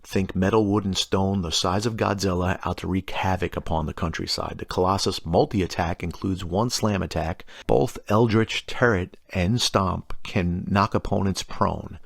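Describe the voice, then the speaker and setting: A man's voice: low voice, fairly even intonation, normal speed, gravelly, hardly any pauses, no echo, studio quality. A middle-aged man hosting a tabletop gaming explainer, reading prepared lore in a wry, informal way with casual asides. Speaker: host of a tabletop gaming channel.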